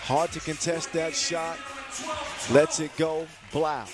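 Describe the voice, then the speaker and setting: A man's voice: a little high, slow. Male basketball play-by-play announcer. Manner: lively, joking play-by-play. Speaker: play-by-play commentator. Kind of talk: live sports commentary. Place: basketball arena. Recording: loud crowd and arena music behind.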